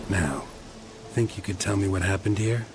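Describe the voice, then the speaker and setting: An actor playing a gruff, world-weary monster hunter in a video game, terse and deadpan with a gravelly voice. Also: male voice, moderate pace, noisy background, lightly acted. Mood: measured, gentle. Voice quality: low, gravelly. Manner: terse, deadpan, clipped sentences. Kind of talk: video game character acting.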